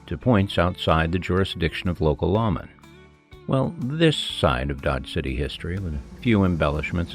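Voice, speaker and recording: Deep, creaky-voiced American man narrating. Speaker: narrator and local guide. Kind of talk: scripted travelogue narration, folksy.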